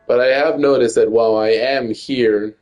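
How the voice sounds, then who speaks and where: Young guy rambling thru a game stream, stutters a bunch, swears a lot, mid pitched voice. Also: steady tone, normal speed, a little mellow, highs cut off, faint music behind. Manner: rambling, stutters and repeats words, swears often. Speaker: gaming streamer. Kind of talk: casual gameplay commentary.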